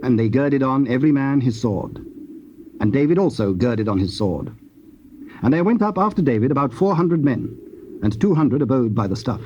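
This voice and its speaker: A man's voice: medium pitch, expressive, moderate pace, a little mellow. A man narrating a dramatized scripture recording in a solemn, scripted storytelling style. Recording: dull old cassette, faint noise.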